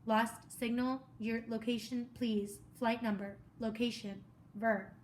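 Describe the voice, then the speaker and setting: A woman voicing a calm adult male radio operator who speaks in clipped, procedural radio talk. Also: a little high, slow, distant mic, slight background noise. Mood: firm, procedural.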